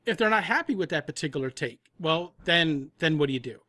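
Guy producer chatting on a podcast, bit high voice, no room echo. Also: lively intonation, brisk, bright, forceful tone, studio quality. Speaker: audio drama producer, interview guest. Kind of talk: casual podcast discussion.

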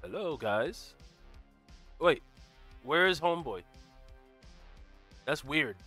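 A man hosting a relaxed gameplay stream, friendly with his chat and chatty about gear and builds. His voice sits a bit high for a man and comes across bright and forceful, with a slightly stuffy, cold-touched sound. Faint music plays beneath him. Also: slow. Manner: friendly, greets chat viewers by name; walks through game build details. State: stuffy from a cold, occasional throat clearing. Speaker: gaming livestream host. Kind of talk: casual live gameplay commentary.

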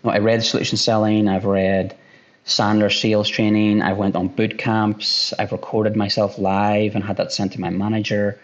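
Fast-talking Irish man on a call. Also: on the low side. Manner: fast-talking, repeats himself, many restarts. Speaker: sales director, guest. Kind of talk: casual interview answers. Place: room at home by a window. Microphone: headphones on a video call. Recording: dull lo-fi call audio.

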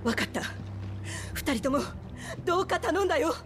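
A woman playing a distressed, earthy innkeeper pleading urgently in plain rough speech in a game drama. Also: very high voice, animated, normal speed, a little hiss or hum. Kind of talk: Japanese video game dramatic acting.

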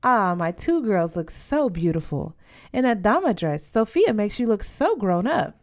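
A woman voicing a proud, loving, middle-aged father in a storybook, gentle, admiring and encouraging. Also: mid-range voice, very animated, a little slow.

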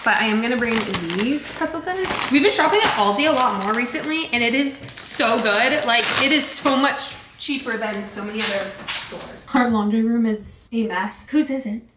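A woman's voice: on the high side, moderate pace. A young woman vlogging at home in a casual, upbeat, gushing way, with lots of "like". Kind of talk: casual vlog narration. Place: home and patio, indoors and out. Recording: echoey with clear background noise.

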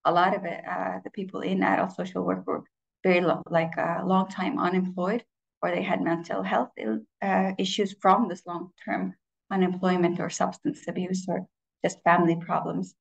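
Woman in her 30s, English speaker, low gravelly voice, reflective presentation delivery. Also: little pitch movement, low-quality recording. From Estonia. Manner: reflective, with frequent filler pauses and restarts. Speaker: conference speaker. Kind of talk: personal presentation talk.

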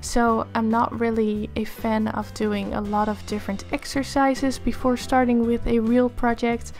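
A young woman with a Dutch accent, hoarse from a cold, chatting upbeat over a drawing tutorial video. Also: fairly high pitch, flat delivery, a little slow, darkish tone, faint music behind. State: hoarse, losing her voice from a cold. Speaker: art tutorial creator. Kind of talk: casual voice-over for a drawing time lapse.

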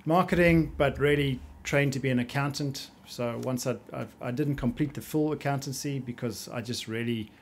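Middle-aged South African man, measured. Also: mid-range voice. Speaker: guest coach. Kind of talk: casual podcast conversation. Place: small podcast studio, indoors. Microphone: studio mic on boom arm.

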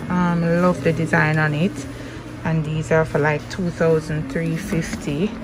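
A woman's voice: sits low, measured pace, noisy background. A young woman vlogger from Jamaica, chatting casually as she browses a home decor store, with lots of ums and likes. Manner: relaxed, musing, many ums and likes. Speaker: lifestyle vlogger. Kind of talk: casual store tour voice-over. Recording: music playing under the voice. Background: background music.

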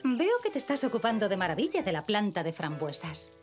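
A woman's voice: mid-range voice, very animated, a little fast, over music, natural voice. A woman playing a patient, affectionate mother of young children in a Castilian Spanish cartoon dub. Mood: patient, reassuring.

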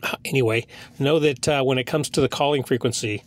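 A man's voice: medium pitch, fairly even intonation, a little fast. A man chatting casually as a radio hobbyist and video host, rambling and hesitant, recorded inside a car. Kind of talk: casual vlog narration.

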